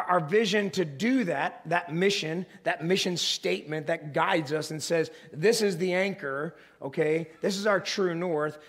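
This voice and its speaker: A middle-aged male pastor with a gravelly, hoarse voice, preaching an animated sermon from a church stage. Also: a little high. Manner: animated, gesturing while pacing the stage, rising to emphatic peaks. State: hoarse, voice strained. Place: large church stage with an audience.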